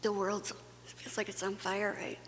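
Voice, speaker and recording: Slow-talking woman from Guatemala in a hall. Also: medium pitch, little pitch movement, a bit bright. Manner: earnest, conversational, with frequent fillers and restarts. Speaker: conference speaker. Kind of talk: plenary talk to a faith audience. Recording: long hall reverb, faint hum.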